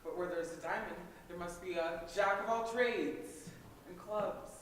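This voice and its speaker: A man's voice: high-pitched, a little slow, a little hiss or hum. A young man playing an eager, bumbling amateur magician in a comic student stage play, scattered but showy. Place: theater hall, recorded from audience distance. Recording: echoey hall, distant mic.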